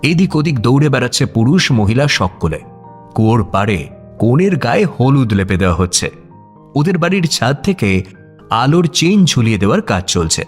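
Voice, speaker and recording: A male narrator reads a scripted story expressively, with a mid-range voice. His intonation rises and falls widely, and soft music runs underneath. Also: medium pitch, big pitch swings, normal speed.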